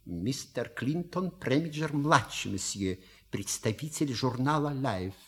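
A man's voice: mid-range voice, expressive, a little slow. A man acting a dignified, deferential manservant with formal, grandiloquent speech in a radio play. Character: dignified, unctuous manservant, loyal and ceremonious.

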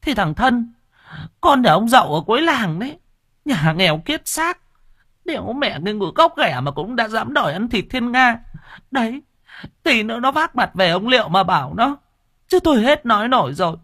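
A storyteller voicing a loud, nagging, middle-aged rich wife who screeches and wails, in a dry close recording. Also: medium pitch, big pitch swings, normal speed, breathy edge, pitched above the performer's own voice, heavily acted. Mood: shrill nagging.

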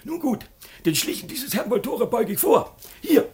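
An actor playing a greedy, gullible old gentleman who is hard of hearing, husky and querulous in a theatrical comedy. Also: very high voice, big pitch swings, normal speed. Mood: excited, greedy. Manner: querulous, eager questioning. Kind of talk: theatrical classic comedy acting.

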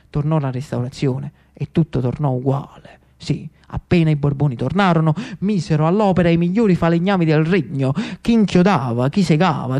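A man with a Neapolitan accent narrating theatrically as a storyteller-actor, in a dramatic, deliberate way. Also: sits high.

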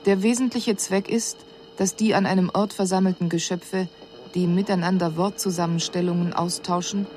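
An actress playing an extraterrestrial observer in a radio drama, reporting on human customs in a clinical, measured, matter-of-fact way. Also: female voice, on the low side, fairly even intonation, darkish tone, slight background noise, close to an everyday voice. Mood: detached, analytical.

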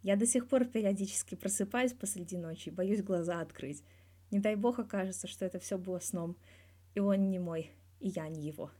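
Woman reading as young athlete guy, wry n blunt, kinda guarded, mellow voice, audiobook story. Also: mid-range voice, brisk, close to an everyday voice. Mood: fond. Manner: guarded yet blunt, dryly teasing, swears freely. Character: candid, wry young male star athlete being interviewed.

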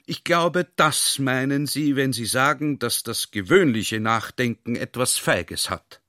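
Slow, courteous old-world general. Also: male voice, medium pitch, sharp tone, no room sound, studio quality. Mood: musing, courteous. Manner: deliberate, formal, ornate politeness. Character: courteous, cautious military general of imperial Austria. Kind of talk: radio play acting.

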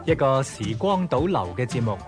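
A man's voice: mid-range voice, sing-song, normal speed. A man reading a scripted radio programme introduction. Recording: loud music under voice. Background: loud theme music.

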